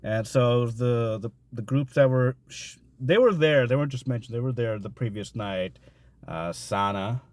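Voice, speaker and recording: A man's voice: mid-range voice, moderate pace, darkish tone. A man co-hosting a casual podcast, joking and laughing often as he recaps a convention. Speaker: podcast co-host.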